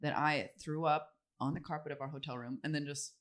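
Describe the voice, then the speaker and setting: A woman's voice: sits low, steady tone, fairly quick. A young woman guest on a podcast who chats casually and laughs often. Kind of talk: casual chat.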